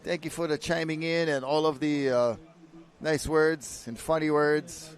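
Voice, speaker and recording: A man from Hawaii, giving relaxed live surf contest commentary and slipping into local pidgin slang. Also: fairly high pitch, unhurried. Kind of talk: live sports commentary, casual banter.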